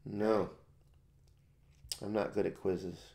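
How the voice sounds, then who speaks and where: Gravelly low-voiced analytical man. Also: flat delivery, a little mellow. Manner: measured, overly analytical, dry humor. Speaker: podcast co-host. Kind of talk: casual chat.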